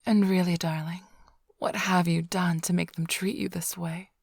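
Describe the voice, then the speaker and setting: A woman's voice: lowish voice, little pitch movement, normal speed, a little mellow, no room sound. A woman playing a flirtatious, smug female vampire thief in a whispered, teasing ASMR roleplay, drawling close to the microphone. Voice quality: breathy, whispery. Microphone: close-up studio microphone, dry.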